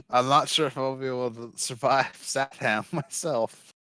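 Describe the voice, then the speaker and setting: A man chatting casually as a first-time guest, joking and halting, with laughs and restarts, on a rough home connection. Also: mid-range voice, sharp tone, no room sound, clean studio sound. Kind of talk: casual chat. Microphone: home mic over a rough internet connection.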